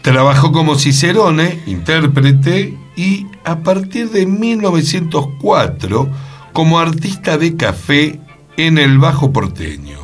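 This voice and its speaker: Animated Argentine man chatting over music. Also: a little high, slow pace. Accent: Argentine, Rioplatense. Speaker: radio co-host. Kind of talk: casual anecdotal radio chat.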